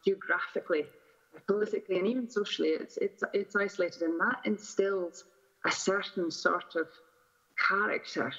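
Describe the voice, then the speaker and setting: A woman's voice: mid-range voice, moderate pace, a bit bright. A woman with a Shetland Scottish accent, giving a conversational address as a conference panelist. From Shetland Islands, Scotland. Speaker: energy sector representative, panel speaker. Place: remote call into a conference. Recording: dull lo-fi video call audio.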